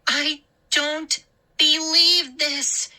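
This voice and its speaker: A woman's voice: sits high, huge pitch swings, slow pace, bright, forceful tone, voice pushed up, exaggerated voice. A woman voicing an indignant young girl, an older sister, who shrieks and protests.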